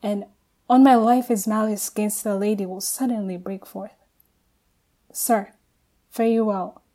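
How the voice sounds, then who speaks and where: A woman playing a grave, courteous courtier in a Shakespearean radio play, formal and measured. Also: medium pitch, fairly even intonation, dark tone, lightly acted. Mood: grave concern.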